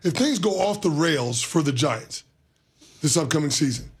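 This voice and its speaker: Kinda raspy sports radio guy, a bit hoarse, asks pointed questions. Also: on the high side, lively intonation, normal speed. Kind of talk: casual sports debate.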